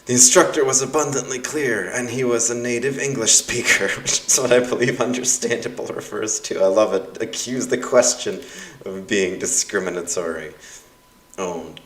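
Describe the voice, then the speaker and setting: A man's voice: mid-range voice, moderate pace, fairly bright tone. A young adult man, a university instructor whose first language is Russian, reads aloud his course evaluations in a casual, wry, self-mocking way with lots of fillers. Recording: roomy sound from a distance.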